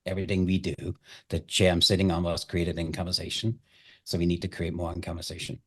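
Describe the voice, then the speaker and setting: A man's voice: low voice, level tone, quick pace, fairly bright tone, husky. A middle-aged man with an Austrian accent, a native German speaker, talking conversationally as an interview guest and telling stories. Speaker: chef and hospitality guest.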